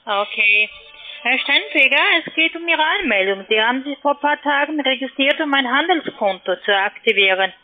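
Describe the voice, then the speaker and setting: A woman's voice: high-pitched, lively intonation, normal speed, soft background music. Insistent foreign woman on a tinny phone. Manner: insistent, formal. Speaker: call centre agent. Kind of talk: telephone cold call. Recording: tinny narrow-band phone line.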